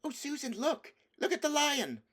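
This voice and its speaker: A man voicing an excitable, wonderstruck little girl in a storybook, exclaiming with breathless wonder. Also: very high voice, moderate pace, voice pushed up, heavily acted. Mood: excited. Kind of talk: storybook character voice.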